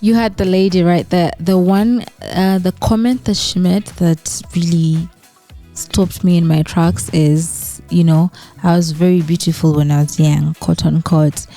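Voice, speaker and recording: A woman's voice: on the low side, steady tone, unhurried, dark tone, soft background music. A woman from Western Uganda, hosting her own channel in a chatty style full of "right?" and "you know". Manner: conversational, frequent tag questions and fillers. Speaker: YouTuber host. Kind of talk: casual commentary vlog.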